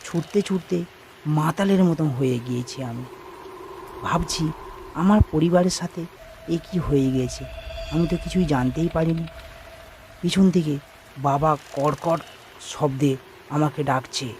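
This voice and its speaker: A man's voice: fairly high pitch, a little slow, pauses often, a little mellow, slight background noise. A man giving scripted first-person narration of a cartoon ghost story. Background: background music.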